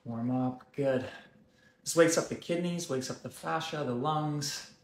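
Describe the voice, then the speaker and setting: A man in his thirties hosting a live wellness stream, calm and gently guiding, with slow deliberate phrasing. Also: a little high, reverberant. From South Africa, raised in Canada. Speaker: wellness host. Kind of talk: live-stream coaching chat. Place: room indoors.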